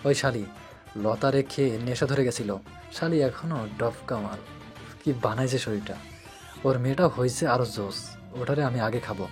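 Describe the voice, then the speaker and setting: A man plays a crude, drunken henchman in an audio story. He sneers and slurs insults in coarse slang, at a middle pitch, with faint music underneath. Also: moderate pace, a little mellow, lightly acted. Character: crude drunken male thug, leering and menacing.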